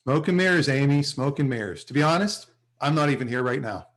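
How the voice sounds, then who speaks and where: A man's voice: mid-range voice, expressive, a little fast, lightly acted. A man playing a defensive, wisecracking slacker salesman in a sitcom read over a video call, with a dry, offhand manner. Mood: wry, offhand.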